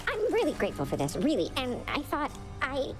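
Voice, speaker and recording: Timid female servant creature, high squeaky voice, wildly swooping, nervous stammer, adoring, game role. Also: huge pitch swings, brisk, over music. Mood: flustered, grateful. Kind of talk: video game dialogue.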